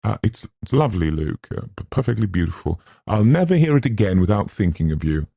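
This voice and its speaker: A man's voice: a bass voice, lively intonation, moderate pace, vocal fry, dark tone, no room sound, studio quality, heavily acted. A man voicing a playful young woman in a storybook, courteous and lightly mocking, in a polite conversational manner. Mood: weak, faltering.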